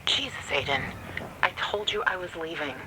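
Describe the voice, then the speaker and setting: A woman's voice: fairly low pitch, animated, normal speed, very noisy. An actress playing a cool, teasing woman guiding someone over the radio in a video game. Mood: exasperated.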